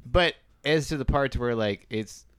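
A man's voice: mid-range voice, unhurried, fairly bright tone, smooth, no echo. A man co-hosting a casual podcast chat, thoughtful and hedging as he weighs his views. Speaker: podcast host.

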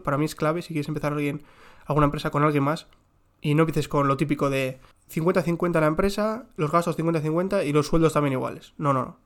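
A young man with a slightly high voice talks through his favourite books at home. He jokes and swears casually, and a little room echo clings to his voice. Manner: joking, slangy, swears casually. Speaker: book reviewer. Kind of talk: casual book recommendations. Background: construction work next door.

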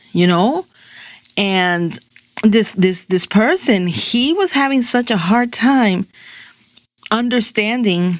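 A woman's voice: medium pitch, no echo. Middle-aged woman from New Jersey, first language Spanish, rambling vlog chat, mid-range voice, muffled dry recording. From New Jersey, USA. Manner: chatty and digressive, full of "um" and "you know". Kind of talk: casual personal monologue.

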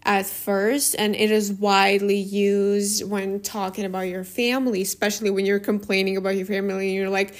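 A woman's voice: medium pitch, level tone, moderate pace, few pauses. A young woman, hosting a casual solo podcast. She rambles and hesitates, with many fillers and restarts. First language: Russian. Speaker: podcast host.

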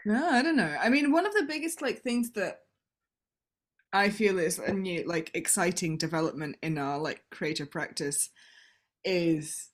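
A woman with an English accent co-hosting a casual podcast about theatre-making, quick to chime in and agree. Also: lowish voice, lively intonation. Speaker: podcast co-host, theatre maker. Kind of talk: casual chat.

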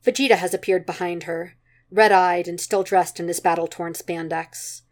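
A woman's voice: fairly low pitch, fairly even intonation, normal speed. A woman reading a story aloud as scripted narration, in the manner of an amateur audiobook. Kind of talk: scripted narration of fan fiction.

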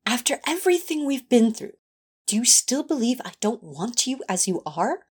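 A woman plays a tender, lovestruck male angel in a fan audio drama. The voice is slightly high and breathy, with a very lively melody. The phrasing is fussy, formal and earnest. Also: normal speed, exaggerated voice.

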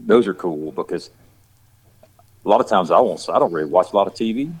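A man's voice: low-pitched, expressive, fairly quick. A man from Georgia with a Southern country accent, chatting casually as an actor guest, rambling with lots of "you know". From Georgia, USA. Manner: rambling, repeats words and restarts, says "you know" often. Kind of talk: casual podcast chat.

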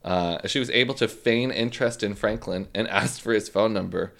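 A man's voice: on the low side, normal speed, gravelly, fairly bright tone. A man co-hosting a casual true-crime podcast, joking and teasing, with a slight lisp. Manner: joking, teasing, swears freely. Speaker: podcast co-host.

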